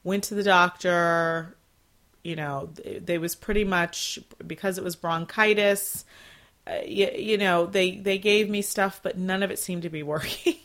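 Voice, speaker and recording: Woman, forties, solo podcast host; relaxed, rambling chat, a bit low-pitched, voice still scratchy from a recent cold. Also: measured pace. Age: 40s. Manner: chatty, rambling, with fillers and soft laughs. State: slightly scratchy voice, clearing throat after illness.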